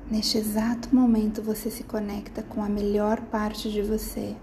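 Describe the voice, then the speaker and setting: A woman guiding a sleep meditation in a slow, soft, soothing manner with gentle pauses, slipping into near-whispers. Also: mid-range voice, little pitch movement, a little hiss or hum. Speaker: meditation guide.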